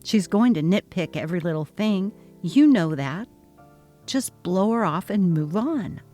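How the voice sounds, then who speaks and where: A woman's voice: lowish voice, animated, measured pace, warm tone, soft background music. A woman voicing a worried, conflict-avoidant young husband in a dramatic reading of a text-message story. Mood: placating.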